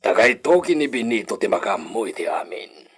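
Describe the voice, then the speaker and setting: A man acting as an ageing Filipino hacienda master in a radio drama, grave and deliberate as he speaks. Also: fairly low pitch, big pitch swings, slightly rough, exaggerated voice. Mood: solemn.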